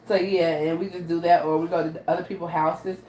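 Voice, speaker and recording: Raspy, low-voiced woman hosting a cooking stream. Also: level tone, quick pace, warm tone. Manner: chatty, repeats "okay?" to her audience. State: raspy from allergies. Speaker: host of a live cooking stream. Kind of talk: casual live cooking demo talking to viewers. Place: home kitchen. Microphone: camera she adjusts by hand. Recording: roomy echo, faint background noise.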